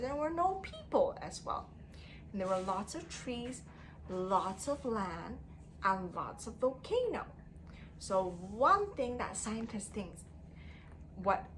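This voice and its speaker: A woman teacher reading a story aloud to young children in an expressive way, using simple phrasing and putting questions to them. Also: medium pitch, background noise. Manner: expressive, emphatic delivery.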